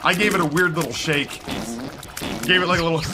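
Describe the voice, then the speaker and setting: A middle-aged man chats away over his own game stream, his voice sitting a little higher than most men's. He rambles and pokes fun at himself. Game music plays clearly behind him the whole time. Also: steady tone, fairly quick. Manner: rambling, self-deprecating, talks nonstop. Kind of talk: casual chat while playing a video game.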